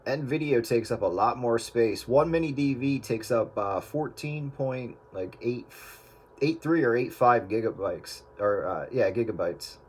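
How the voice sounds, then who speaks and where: A man's voice: medium pitch, measured pace, background noise. A man hosting a casual chat, explaining technical points as an audio engineer would.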